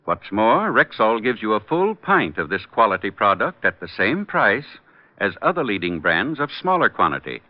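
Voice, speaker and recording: A man's voice: on the low side, very animated, moderate pace. An American man reading a scripted commercial as an announcer in mid-century radio style. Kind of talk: scripted 1940s-50s radio advertisement. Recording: muffled old radio recording.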